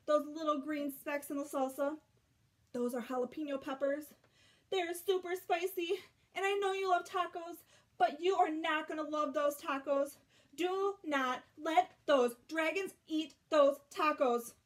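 A young woman reading a children's picture book aloud, expressively, with drawn-out emphasis and dramatic pauses. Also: sits high. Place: small bedroom at home.

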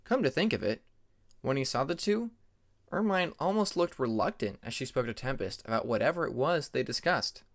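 A man's voice: medium pitch, expressive, moderate pace. A man narrating scripted fantasy fiction as an audiobook reader.

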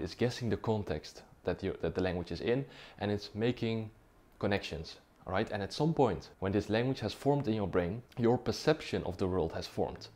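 A young Dutch-speaking man talks straight to the camera in a small, dim room, explaining things in a relaxed, chatty way. His voice sits fairly low and sounds soft and mellow, and he keeps checking in with the listener. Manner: conversational, checks in with "right?" and "okay?". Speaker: language-learning YouTuber.

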